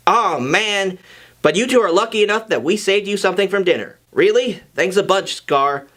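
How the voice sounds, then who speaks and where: A man doing high, animated young student banter. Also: moderate pace. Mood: playful. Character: young male students bantering, playful and sheepish.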